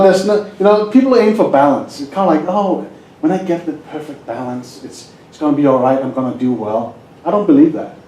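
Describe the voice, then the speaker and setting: A man's voice: medium pitch, mellow. Danish-accented man presenting in an echoey hall. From Denmark. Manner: jokey, asks the audience questions. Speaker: hypnosis presenter. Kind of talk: live seminar talk.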